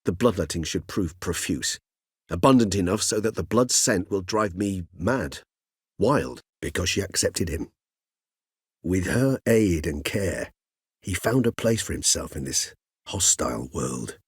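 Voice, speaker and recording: A man's voice: low voice, expressive, husky, dry recording, studio quality, full character voice. An actor voicing a cultured older male vampire in a video game, speaking formally and precisely. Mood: measured, explaining. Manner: erudite, formal, measured, wordy phrasing. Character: cultured, mild-mannered older male vampire, learned and courteous. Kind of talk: theatrical video game dialogue.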